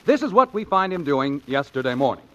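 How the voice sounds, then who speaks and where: A man's voice: on the high side, sing-song, fairly quick, sharp tone. A man announcing a 1940s radio comedy show in a scripted presenter's style. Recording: muffled 1940s radio recording.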